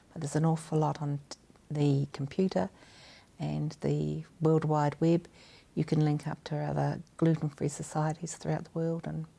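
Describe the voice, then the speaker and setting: A woman's voice: low voice, gravelly, dark tone. A woman, a guest from a gluten-free society, speaking earnestly and emphatically in an interview.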